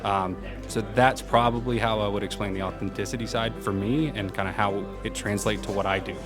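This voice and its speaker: A man with a loud, carrying voice answering interview questions in an informal, upbeat, self-effacing way as a senior program manager. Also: on the low side, fairly even intonation, fairly quick. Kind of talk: casual conversational interview answers. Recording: music playing behind the voice.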